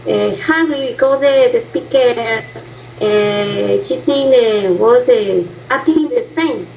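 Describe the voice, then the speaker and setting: A woman student speaking in a class presentation, hesitant and halting, with many fillers and restarts in learner's English. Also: on the high side, gravelly, darkish tone, a little hiss or hum. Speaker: language student. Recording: dull lo-fi class recording.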